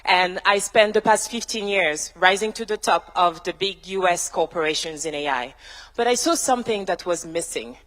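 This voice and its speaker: A woman, a tech founder giving a measured, emphatic panel answer built from rhythmic lists. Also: on the low side, fairly bright tone. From France. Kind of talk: prepared keynote-style panel answer. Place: large university hall, on stage. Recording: reverberant large hall.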